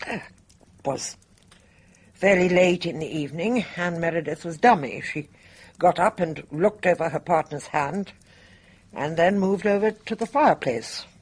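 A woman's voice: lowish voice, measured pace, husky. An actress playing a resolute, dignified older Englishwoman in a radio drama, speaking with formal, grave composure. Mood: grave confession. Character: dignified older woman, resolute, guilt-burdened bridge player.

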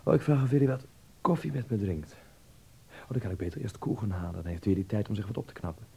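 A man's voice: lowish voice, animated, quick pace, vocal fry, background noise. An actor in a radio drama playing a naive, prudish young Dutchman abroad who talks to himself and is easily flustered. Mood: calling out. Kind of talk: radio drama monologue and dialogue.

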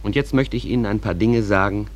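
An actor playing a calm, folksy stage manager who narrates a radio play, addressing listeners in a measured, conversational way. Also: male voice, lowish voice, lightly acted. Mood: calm, wistful.

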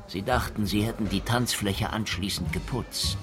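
A man's voice: fairly low pitch, moderate pace, music in the background, natural voice. An actor playing a sardonic, menacing private detective in a noir radio drama, with a clipped tough-guy delivery. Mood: grim, accusing.

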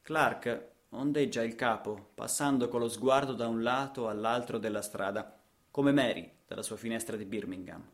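Guy doing radio drama narration, deliberate and theatrical, short punchy phrases. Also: mid-range voice.

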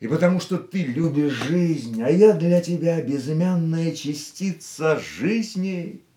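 An actor playing a worldly, restrained, fatalistic lover in a radio play, speaking in a measured, wry way. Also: male voice, a little high, animated, mellow, reverberant. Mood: wry, guarded.

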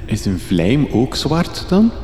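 Echoey, excitable Flemish reporter. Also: male voice, mid-range voice, slow pace. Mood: fussy, curious. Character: young male reporter, excitable, fussy adventurer. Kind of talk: radio drama acting. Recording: echoey cave reverb, noisy ambience.

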